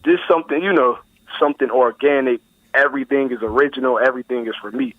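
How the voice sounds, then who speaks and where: A man's voice: mid-range voice, fast talker, dry recording. A nineteen-year-old man from Buffalo, New York, a rap artist talking about his music in a laid-back, slangy way as a guest on a phone interview. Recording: tinny phone line, dry.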